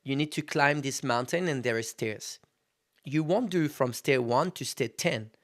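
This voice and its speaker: A man in his thirties at an ordinary mid pitch, hosting his own podcast in a loose, unscripted monologue. He talks frankly, swears without restraint and keeps turning to his listeners as guys. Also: unhurried. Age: 30s. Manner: candid, swears freely, addresses listeners as guys. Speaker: podcast host. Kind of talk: casual motivational monologue.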